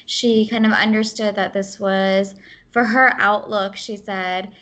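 A young woman in her twenties, born in the United States with Gujarati as her first language, answering interview questions in quick, casual speech with fillers and restarts. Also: mid-range voice, fairly even intonation, fairly bright tone. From United States (Ohio). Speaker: guest.

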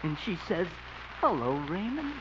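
A man's voice: sits high, very animated, moderate pace, a crowd in the background. An actor playing a timid, gullible lovesick young man in a 1950s radio sitcom, talking anxiously. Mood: plaintive. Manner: anxious, plaintive. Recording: dull old radio transcription with hiss.

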